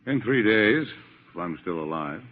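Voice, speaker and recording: A man playing a calm, terse fighter among guerrillas in a restrained 1940s radio drama style. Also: lowish voice, normal speed, lightly acted. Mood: grave, probing. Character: calm, laconic English-speaking male fighter among guerrillas. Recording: tinny 1940s radio, reverberant hall.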